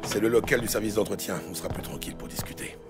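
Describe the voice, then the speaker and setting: An actor playing a gruff, commanding police lieutenant in a French audio drama, curt and coarse in manner. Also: male voice, on the low side, lively intonation, quick pace, music in the background, close to an everyday voice. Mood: guarded, low.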